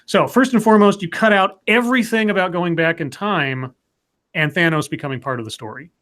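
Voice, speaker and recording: A man co-hosts a casual live stream about comics and movies. His voice sits on the higher side. He rambles through his points with plenty of fillers and restarts. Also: a little fast, fairly bright tone. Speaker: podcast co-host.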